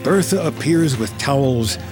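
A man narrates a screenplay in a slow, deliberate voice at a middling pitch. His delivery is dramatic, reading action in short present-tense phrases, with clear music playing behind him. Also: lively intonation. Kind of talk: scripted film narration, reading stage directions in present tense.

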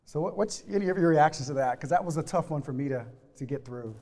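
A man's voice: a little high, lively intonation, brisk, darkish tone. A man hosting a reflective discussion, thinking ideas through and putting questions to his guests in a thoughtful, exploratory way. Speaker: podcast host. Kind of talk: discussion moderating, reflective conversation. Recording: reverberant room sound.

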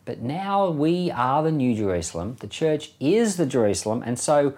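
A man's voice: medium pitch, sing-song, normal speed, darkish tone. A man who is a pastor giving informal Bible commentary in a conversational, enthusiastic way, posing rhetorical questions. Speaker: pastor teaching a Bible podcast.

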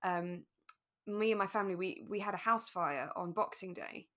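A woman in her thirties, co-hosting a podcast in relaxed chat and telling personal stories in an animated way. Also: mid-range voice, moderate pace. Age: 30s. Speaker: podcast co-host.

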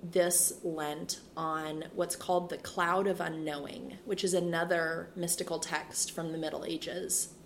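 A woman in her thirties, a priest and school chaplain, talking in a relaxed interview with a soothing, reflective manner. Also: fairly low pitch, measured pace, gravelly, few pauses, slight background noise. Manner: frequent fillers and restarts, laughs easily. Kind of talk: casual interview conversation.

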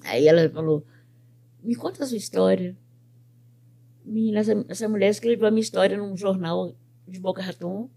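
An older woman from Brazil, a pet groomer and business owner, telling her life story in an interview with lively quoted speech. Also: medium pitch, normal speed, creaky, pauses often. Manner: animated storyteller who quotes other people's words.

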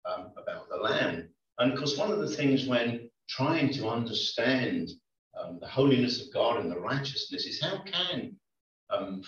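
A man's voice: fairly low pitch, a bit bright. Male preacher, conversational and hesitant, dull lo-fi church recording. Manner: conversational, hesitant with many ums. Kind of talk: sermon. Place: church hall. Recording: echoey lo-fi church recording from far off.

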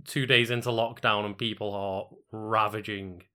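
A man's voice: lowish voice, fairly even intonation, moderate pace, sharp tone. A man with a Scouse accent co-hosting a stream, chatting in an easygoing, laid-back way. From Liverpool. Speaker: co-host. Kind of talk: casual stream chat.

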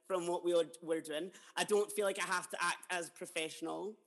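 Chatty Scottish man, higher voice. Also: fairly even intonation, brisk, a bit bright, big-room sound. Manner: chatty, swears casually, laughs along. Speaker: podcast host. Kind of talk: casual chat.

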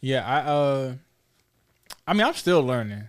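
Guy in his 30s hosting a casual chat, mid pitch, slangy and probing. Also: big pitch swings, a little slow. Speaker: talk show host. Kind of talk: casual conversation.

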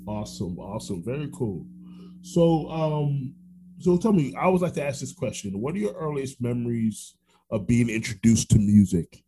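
A man with an American accent hosting a casual interview podcast, repeating words as he goes in a halting way. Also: mid-range voice, lively intonation, dark tone, a little hiss or hum, low-quality recording. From Orlando, Florida, United States. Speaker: podcast host.

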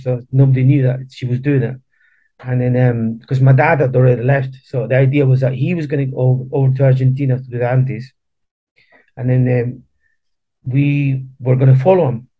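Halting Chilean-born man, muffled sound. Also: medium pitch. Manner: halting, repeats words and restarts often. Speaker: guest. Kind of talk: casual interview chat.

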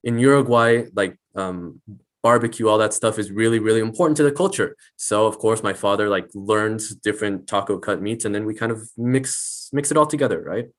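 A man's voice: fairly low pitch, brisk. A teenage boy, a student on a panel, speaking casually with hesitant stutters and restarts. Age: teens. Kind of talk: casual discussion.

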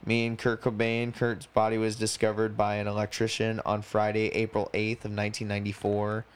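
A man's voice: lowish voice, monotone, fairly quick, smooth. A man in his twenties chatting casually as a podcast co-host, rambling and slangy, swearing freely. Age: 20s. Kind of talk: casual chat.

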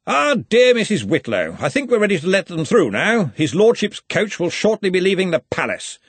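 A man's voice: high voice, wildly swooping pitch, normal speed, husky, no echo, voice pushed up, exaggerated voice. A man voicing a jovial, tall old university Arch-Chancellor in an audiobook, speaking in hearty, clipped phrases with a faintly threatening cheer. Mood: hearty, happy.

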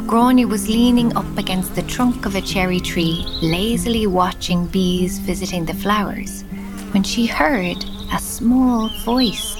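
A woman narrating a scripted children's fairy tale for an audio drama. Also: mid-range voice. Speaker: storyteller narrator. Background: music.

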